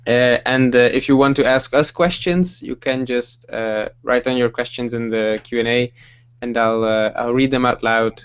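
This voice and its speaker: Young man hosting with soft laughs. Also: medium pitch, smooth, highs cut off. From Belgium. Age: 20s. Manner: relaxed, halting, many fillers and soft laughs. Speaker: livestream host. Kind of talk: casual group livestream chat.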